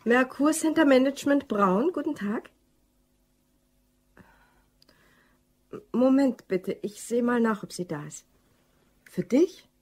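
An actress playing a curious, businesslike office colleague in a German crime radio play. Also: female voice, a little high, expressive, moderate pace, darkish tone.

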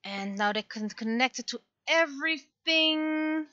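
A woman livestreamer thinks aloud in short, halting phrases at a slow pace. Her voice is bright and clear-cut in tone, though the recording is slightly dull. It sounds close and dry, like casual chat over desk work. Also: high-pitched, lively intonation, no echo. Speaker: livestreamer and webcomic artist.

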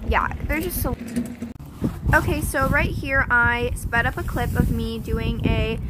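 A woman's voice: a little high, steady tone, a little slow, heavy background noise. A teenage girl chatting casually with lots of fillers as she vlogs her barn chores, recorded on a phone camera. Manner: chatty, rambling with many fillers. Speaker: vlogger. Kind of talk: casual vlog narration. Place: horse barn.

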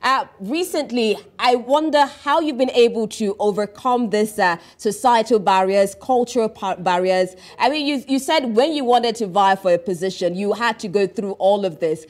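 A woman's voice: medium pitch, moderate pace, few pauses. A woman news anchor in a TV studio, asking formal, probing interview questions. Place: television studio.

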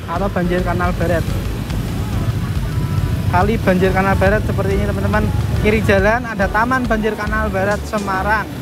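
A woman giving casual, chatty vlog commentary while riding a motorbike through city streets, talking straight to her viewers. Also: mid-range voice. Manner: chatty, keeps addressing viewers as friends. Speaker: motovlogger. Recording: loud background music over outdoor riding.

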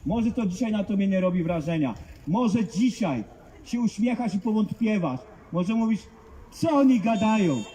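A man's voice: sits high, animated, normal speed, dark tone, slight background noise. A man preaching outdoors to passers-by, fervent and emphatic, driving points home with rhetorical questions. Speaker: street preacher. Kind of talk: open-air evangelistic preaching. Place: outdoors in a public street.

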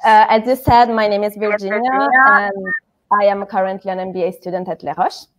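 A woman's voice: medium pitch, steady tone, fairly bright tone. Italian-accented woman on a video call. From Italy. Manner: earnest, measured, frequent uh pauses. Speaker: MBA student guest. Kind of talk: prepared self-introduction. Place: home living room. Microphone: video call with headset.

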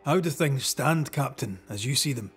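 A man plays an earnest, principled ghost hunter with a mid-pitched voice. He speaks firmly and pointedly, challenging whoever he faces, in a dramatic video game style. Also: expressive, moderate pace, faint music behind, natural voice. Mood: urgent challenge.

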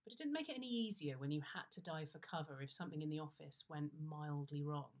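Low-pitched British woman reading a wry story. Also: fast talker. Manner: wry, confiding, expressive timing. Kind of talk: first-person comic story reading, conversational. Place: indoors, plain-walled room.

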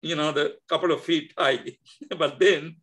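An older man with a Sri Lankan accent, speaking as an interview guest over a video call, halting with many fillers and restarts. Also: a little high, fairly even intonation, a bit bright. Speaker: guest scholar. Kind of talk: reflective interview talk. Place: indoor home room.

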